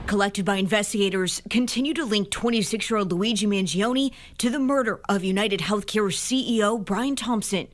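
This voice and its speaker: Medium-pitched woman reporting broadcast news. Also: moderate pace, hardly any pauses.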